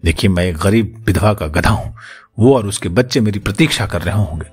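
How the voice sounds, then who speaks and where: A man's voice: low voice, lively intonation, a little fast, slightly rough, soft background music, pitched below the performer's own voice, heavily acted. Humble, bewildered talking donkey acted by a man. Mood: polite protest. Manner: hesitant, polite, protesting. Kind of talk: audiobook character acting.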